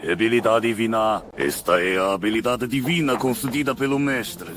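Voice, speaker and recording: An actor voicing a devout, fierce sect disciple in a dubbed motion comic, declaiming with fervor. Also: male voice, fairly low pitch, normal speed, a bit bright, soft background music, lightly acted. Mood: fervent. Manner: fervent, declaiming.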